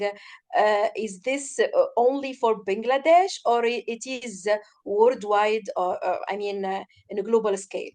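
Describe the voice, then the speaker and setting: A woman's voice: a little high, darkish tone. A woman from Tunisia, speaking as a participant in an online meeting and searching for words. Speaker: audience participant, executive trainer. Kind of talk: asking a question in an online meeting.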